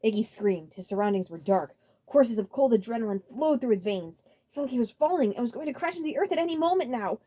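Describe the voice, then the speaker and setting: Expressive woman narrating, lively pitch. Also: quick pace, few pauses. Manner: expressive, stumbles and rereads. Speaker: audiobook narrator. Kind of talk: dramatic fan fiction story reading.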